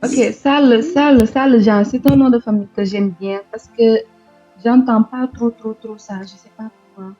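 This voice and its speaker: A woman's voice: fairly high pitch, steady tone, measured pace, mellow, husky, dull audio, soft background music. A woman in a casual comic chat, playful and questioning.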